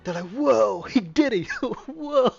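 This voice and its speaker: A man doing casual gameplay commentary on a livestream, excitable and playful, blurting out reactions and sound effects. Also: very high voice, slow, gravelly, highs cut off, faint music behind. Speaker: game streamer.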